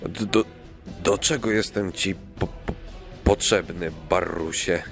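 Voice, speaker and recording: A man's voice: low-pitched, slow pace, creaky, music in the background, full character voice. A man playing a polite, nervous king on a quest, hesitant and stammering, in a children's audio play. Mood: frightened, stammering.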